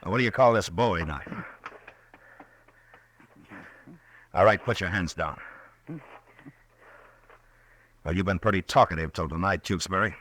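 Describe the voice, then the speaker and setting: An actor playing a steady, commanding Old West marshal in a radio western, terse and level-headed. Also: male voice, low voice, fast, a little hiss or hum. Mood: stern.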